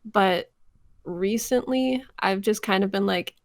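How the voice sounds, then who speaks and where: A young woman with noticeable vocal fry and a mid-range pitch, recorded close and dry. She talks informally and in a giggly, animated way, like a guest in a relaxed interview. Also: takes it slowly, no echo. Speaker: voice actor guest. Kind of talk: casual interview chat.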